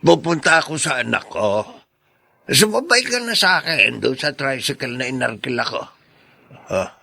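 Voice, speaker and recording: Nosy older neighbor man, creaky, forceful, a bit high, radio drama. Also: big pitch swings, normal speed, dry recording, full character voice. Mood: gossipy, cautioning. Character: older gossipy male neighbor, blunt and cautioning.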